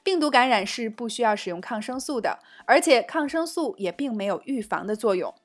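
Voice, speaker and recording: A woman who is a native Mandarin speaker presents a language lesson in an upbeat, scripted manner. Her voice sits a little high. Soft music plays faintly behind her. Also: expressive, a little fast. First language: Mandarin Chinese. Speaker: host and language teacher.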